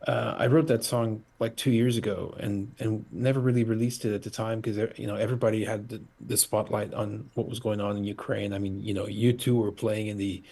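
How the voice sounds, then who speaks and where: Man, husky voice, middle-aged, from Portugal, halting casual chat, lots of fillers, video call. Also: fairly low pitch, flat delivery, mellow. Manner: halting, many fillers and restarts. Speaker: musician guest.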